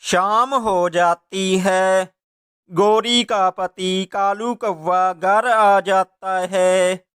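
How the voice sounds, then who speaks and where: A male narrator reads a scripted children's story. His delivery is very slow, with an exceptionally clean, smooth voice. Each sentence is told as plain storytelling. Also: high-pitched, fairly even intonation, a bit bright.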